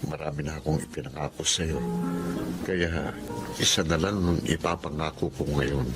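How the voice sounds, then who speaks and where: A man's voice: rumbling low, fairly even intonation, moderate pace, over music. A man with a Filipino accent playing a devoted, protective young fiancé in an audio drama, earnest in manner. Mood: tender.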